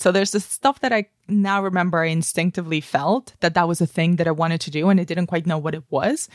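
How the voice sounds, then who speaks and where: A woman's voice: fairly low pitch, dry recording, clean studio sound. A woman in her thirties from Spain, a guest telling her career story in a podcast interview, thoughtful with many "kind of" fillers and restarts. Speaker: guest, film industry professional.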